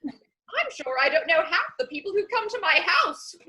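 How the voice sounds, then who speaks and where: A woman's voice: very high-pitched, big pitch swings, normal speed, pushed and bright, full character voice. A woman playing a chatty, scatterbrained Victorian society matron in a gushing, rambling, theatrical comedy reading. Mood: gossipy, airy. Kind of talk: stage comedy dramatic reading.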